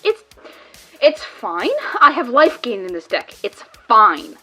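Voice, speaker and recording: A woman acts out a tense, sarcastic card player in an audio drama. Her voice is high and bright, with big swings in pitch and a biting, dramatic edge. Faint music plays behind her. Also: big pitch swings, slow, full character voice. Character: tense, sarcastic card-playing companion fighting to save her friend.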